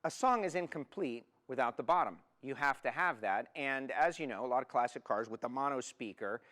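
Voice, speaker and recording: A man's voice: medium pitch, expressive, brisk, a bit bright. Casual man co-hosting a car show. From Miami, United States. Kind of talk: casual product talk.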